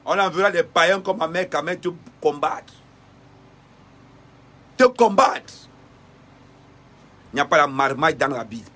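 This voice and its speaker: A man giving an impassioned denunciation in a repetitive, preacher-like cadence with shouted bursts. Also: a little high, animated, unhurried, pauses often.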